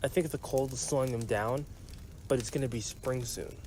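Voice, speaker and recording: A man's voice: medium pitch, fairly even intonation, normal speed, a little mellow, a little hiss or hum, lightly acted. A man playing a weary, matter-of-fact survivor in a dramatized comic. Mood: weary, subdued. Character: weary, matter-of-fact male survivor under frequent attack. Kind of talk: dramatized comic-book voice acting.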